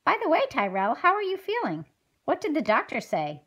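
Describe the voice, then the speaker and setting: A woman's voice: sits high, wildly swooping pitch, brisk, fairly bright tone, heavily acted. A woman voicing an eager, friendly fifth-grade schoolgirl in a scripted children's puppet show, explaining things enthusiastically. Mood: helpful.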